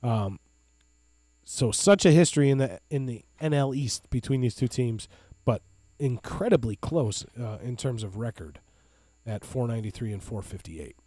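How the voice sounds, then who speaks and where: A man's voice: medium pitch, animated, moderate pace, warm tone, no echo. A man, co-hosting a casual sports podcast. He talks with hearty enthusiasm and a hype-man flair. The sound is close and dry, with a faint hum under it. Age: 30s. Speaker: podcast co-host.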